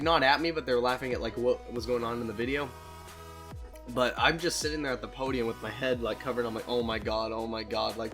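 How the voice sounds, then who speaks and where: A man in his late teens telling personal stories in a casual, rambling, candid and self-deprecating way, swearing freely like a YouTuber on a solo talk show. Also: mid-range voice. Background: background music.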